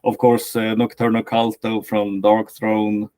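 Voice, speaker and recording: A man with a thick Swedish accent, a band vocalist answering interview questions slowly and with many hesitations. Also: fairly low pitch, completely flat delivery, mellow. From Sweden. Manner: slow, hesitant, many filler sounds. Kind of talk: casual interview talk.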